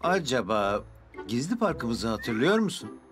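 A friendly adult talking vehicle from a children's cartoon. The pitch is middling and swings in a lively way, with clear music playing underneath. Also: male voice, a little slow. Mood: eager, nostalgic. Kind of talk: Turkish-dubbed children's cartoon acting. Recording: background music under dialogue.

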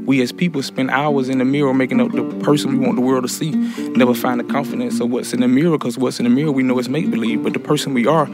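A man's voice: mid-range voice, fairly even intonation, fast. A man in a prison writing class speaking earnestly and reflectively, in talk and recited verse. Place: room inside a prison. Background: soft guitar music.

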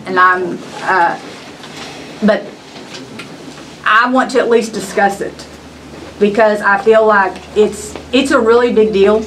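A woman's voice: medium pitch, fairly bright tone, slight background noise, poor audio. A young woman, a local elected official, making an earnest and hesitant proposal at a county meeting. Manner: earnest, hesitant, many restarts. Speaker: justice of the peace. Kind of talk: public meeting remarks. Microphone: table microphone.

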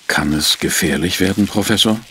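An actor playing a curious, uneasy assistant to a detective in a German audio drama, questioning and eager. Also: male voice, sits low, normal speed, fairly bright tone, slight background noise. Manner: questioning, eager, slightly puzzled.

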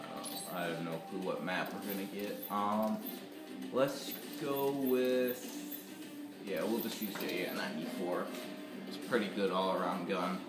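A teenage boy recovering from a cold, stuffy and sore-throated, chatting casually with many ums while playing a shooter. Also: low voice. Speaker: gaming YouTuber. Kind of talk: casual gameplay commentary. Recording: echoey room with loud game music. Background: loud game music and gunfire.

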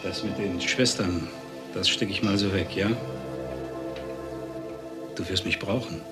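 A cold, commanding doctor in a crime radio drama. His voice sits a little low, curt and threatening, with music playing behind it. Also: steady tone, measured pace. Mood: cold menace. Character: cold, domineering male doctor, threatening husband.

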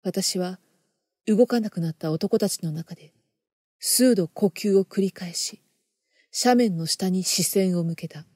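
A woman performing a first-person horror story narration in a theatrical, intense manner, moving between hushed and emphatic delivery. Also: fairly low pitch, measured pace, breathy edge, no room sound, clean studio sound.

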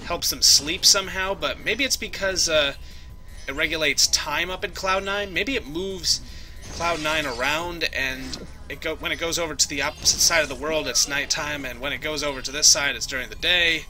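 Goofy, bright-voiced man playing a game. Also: on the high side, moderate pace. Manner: goofy, jokey, talks to the game characters. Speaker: let's play host. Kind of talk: casual gameplay commentary. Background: game music.

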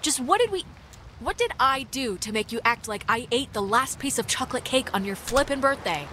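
Lively, snarky teenage girl. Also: high voice, a little fast, a little hiss or hum. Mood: fed up. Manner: snarky, casual, blunt. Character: quick-witted, defiant, sardonic teenage girl. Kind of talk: naturalistic video game voice acting.

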